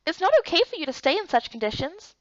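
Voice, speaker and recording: Squeaky, bubbly young game character. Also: female voice, big pitch swings, fairly bright tone, dry recording, dull audio, heavily acted. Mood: excited, concerned. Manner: bubbly, rapid questions. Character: excitable, chatty, kind-hearted young fantasy game character.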